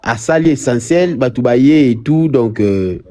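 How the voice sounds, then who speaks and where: Caller, man with a mellow, dark voice, rambling and halting. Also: mid-range voice, expressive. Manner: rambling, halting with many restarts. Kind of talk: live call-in chat.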